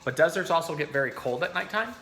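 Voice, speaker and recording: A man's voice: a little high, animated, fast talker, a bit bright. A man presenting informally as a zookeeper hosting an educational animal storytime, explaining things in plain, everyday language. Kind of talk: casual educational talk and storybook reading.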